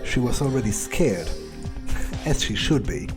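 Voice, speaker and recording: Man roleplaying a slow-talking, arrogant vampire. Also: mid-range voice, big pitch swings, music in the background. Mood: gloating. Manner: drawling, halting, smug, contemptuous. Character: arrogant, menacing, soul-hungry male vampire predator. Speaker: game player roleplaying. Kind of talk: improvised in-character game roleplay.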